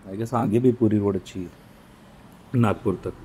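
A man talks through his road trip from the driver's seat, unhurried and pausing often between phrases. His voice is low and mellow. Faint road noise from the moving car hums underneath. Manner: unhurried, pauses with fillers. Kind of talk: casual narration while driving.

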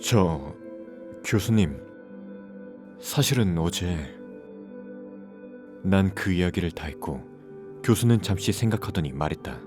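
A man's voice: low-pitched, expressive, slow pace, pauses often, music in the background. A man playing a troubled widowed father in his thirties who narrates a scripted horror story and acts out his lines. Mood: uneasy.

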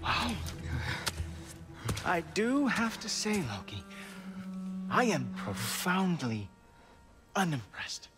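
An actor playing an arrogant god in a video game, mocking with drawn-out sarcasm. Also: male voice, high voice, very animated, full character voice. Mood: taunting, gloating. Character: arrogant, sneering male god, watchman of the gods. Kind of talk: video game character acting. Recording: loud game music behind.

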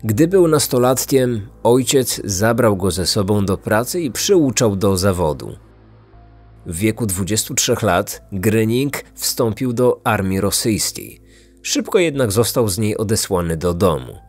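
A man's voice: fairly low pitch, sing-song, unhurried, breathy edge, soft background music. A man reading a scripted true-crime story as a podcast narrator.

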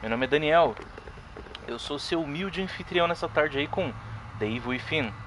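A man's voice: a little high, normal speed, background noise. Chatty Brazilian streamer man. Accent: Brazilian Portuguese. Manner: chatty, thanks followers, sudden excited yelps. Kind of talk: casual live game commentary.

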